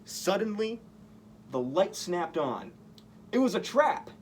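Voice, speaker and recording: A man's voice: sits high, very animated, slow pace, a little hiss or hum. A man reading a picture book aloud to children as a costumed story-time performer. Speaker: costumed story-time reader in superhero persona.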